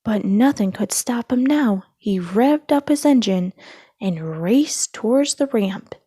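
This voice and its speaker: A woman narrates a children's storybook in a mellow, relaxed voice. She takes her time over each phrase, and the sound is dry and close. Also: fairly high pitch, slow pace, husky, no echo. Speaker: storyteller reading children's books aloud.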